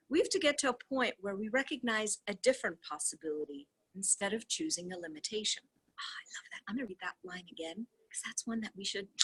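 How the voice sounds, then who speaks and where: A woman with a husky, breathy voice at a middle pitch reads a book aloud as a host. Her delivery is effusive and casual, with dramatic emphasis and drawn-out words. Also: a bit bright, poor audio. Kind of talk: read-along with casual asides.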